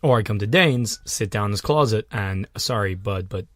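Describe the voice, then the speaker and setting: A man's voice: lowish voice, moderate pace, no room sound, clean studio sound. A man co-hosting a casual podcast from a small room at home, tired and telling jokey, rambling stories. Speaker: podcast co-host. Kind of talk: casual banter.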